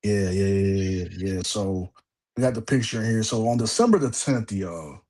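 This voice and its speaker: A man's voice: fairly low pitch, expressive, normal speed, a little mellow. A man hosting a wrestling podcast in casual chat, rambling with lots of fillers and restarts. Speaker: podcast host.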